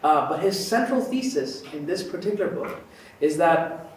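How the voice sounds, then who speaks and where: Guy from kuwait giving a casual class lecture, slightly high voice, super echoey room, keeps checking in. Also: animated, moderate pace. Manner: conversational, frequently checks in with "right?". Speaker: lecturer, university professor teaching a course. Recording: very echoey room, distant mic, faint noise.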